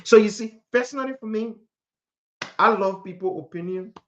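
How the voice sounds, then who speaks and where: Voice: medium pitch, normal speed. A speaker from Africa preaching a motivational sermon in an emphatic manner, repeating phrases and posing rhetorical questions.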